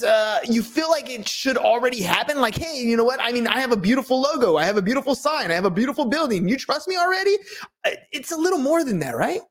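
Enthusiastic interview host, mid-range voice, lays out questions in quick rhythmic lists. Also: mid-range voice. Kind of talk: conversational interview.

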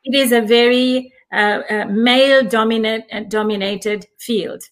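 Guest voice: middle-aged woman from Fiji, fairly high pitch, thoughtful and halting with many fillers. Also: steady tone. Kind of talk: casual conversation.

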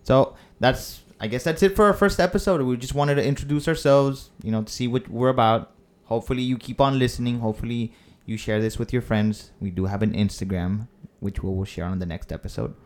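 A man's voice: mid-range voice, steady tone, brisk, hardly any pauses. A man in his thirties, originally from Mexico, co-hosting a casual podcast chat in a laid-back, joking way. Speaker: podcast co-host.

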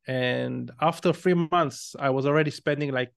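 A man's voice: medium pitch, moderate pace, creaky, clear, resonant tone, dry recording, studio quality. A man in his thirties with an Israeli accent, a podcast guest telling his story and explaining things step by step. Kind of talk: conversational interview.

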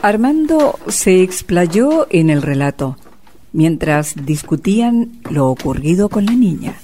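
A woman's voice: fairly low pitch, very animated, takes it slowly, mellow, slight background noise. A woman narrating a scripted story in a storybook style.

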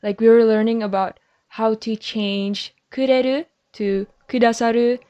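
A woman's voice: a little high, fairly even intonation, unhurried, lo-fi sound. A young woman asking questions in a casual conversation, curious and chatty with lots of fillers. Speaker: interviewer.

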